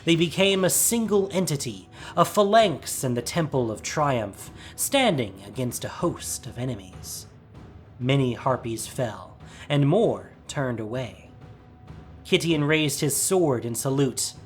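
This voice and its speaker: A man's voice: mid-range voice, expressive, a little slow. A man reading a scripted, dramatic story as its narrator. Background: music.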